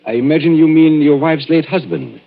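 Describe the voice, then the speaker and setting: A man's voice: a little high, big pitch swings, brisk. An actor playing an urbane, darkly playful stage magician in a 1940s radio mystery, speaking suavely and savoring his words. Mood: suave, ominous. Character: theatrical magician and escape artist, urbane, darkly playful man. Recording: muffled old 1940s radio recording.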